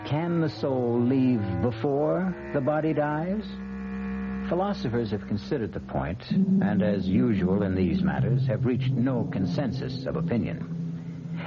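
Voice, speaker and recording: A man narrating as host of an old-time radio mystery, in a measured, wry, philosophical manner. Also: medium pitch, highs cut off. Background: prominent music.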